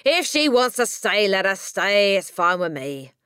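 A woman's voice: mid-range voice, very animated, a little slow, a bit bright, clean tone, dry recording, clean studio sound, pitched above the performer's own voice. A woman reading an audiobook voices a brusque middle-aged father, talking curtly and dismissively. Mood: curt, impatient.

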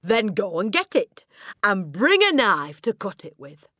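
A tyrannical storybook headmistress. She is theatrical and menacing, with wide swings in pitch and drawn-out, barked emphasis. The recording is close and dry. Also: big pitch swings, dry recording, exaggerated voice. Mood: commanding. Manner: booming, barking insults with drawn-out emphasis. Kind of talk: audiobook character acting.

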